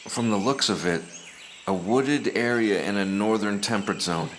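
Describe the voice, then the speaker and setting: An actor playing Nelson, a nerdy teenage gamer who talks in a dry, matter-of-fact way. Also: male voice, lowish voice, normal speed, a bit bright, a little hiss or hum, close to an everyday voice. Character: nerdy teenage boy, know-it-all gamer.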